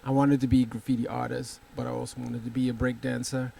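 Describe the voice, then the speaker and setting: A man with a Dutch accent recounts his background, stumbling and repeating words as he goes. His voice is gravelly and flat. Faint room noise sits behind him. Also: mid-range voice, fast talker. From the Netherlands. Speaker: guest artist.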